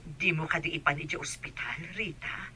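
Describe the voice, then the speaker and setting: A woman's voice: low voice, moderate pace, bright, forceful tone, slightly rough, heavily acted. A woman playing a frank older aunt in a radio drama, admonishing in a concerned way.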